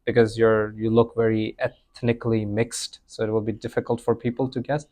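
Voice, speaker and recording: A man's voice: fairly low pitch, little pitch movement, fairly quick, darkish tone. A young man hosting a podcast, telling stories in a rambling, laughing way with many fillers. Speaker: podcast host. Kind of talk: casual chat.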